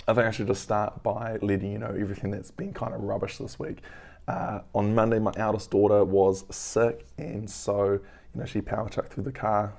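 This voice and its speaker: A man in his thirties with a hoarse, crackly voice from losing it, clearing his throat often as he gives an earnest, rambling weekly business vlog update from home. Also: fairly low pitch, warm tone. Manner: earnest, reflective, rambling with fillers. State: hoarse, lost voice. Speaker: business owner vlogger.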